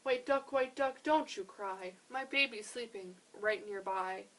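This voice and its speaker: A woman's voice: mid-range voice, unhurried, a little hiss or hum, close to an everyday voice. A woman voicing a protective mother in a storybook lullaby, chanting her pleas in rhythm. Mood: chanting, pleading. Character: protective mother shushing animals in lullaby tale.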